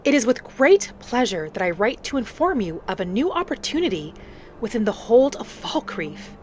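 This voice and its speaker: A woman voicing a polite, curious female wood elf traveler, musing aloud in gentle, slightly formal game roleplay. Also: fairly high pitch, sing-song, normal speed, a little hiss or hum. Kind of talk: in-character game roleplay commentary. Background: faint music.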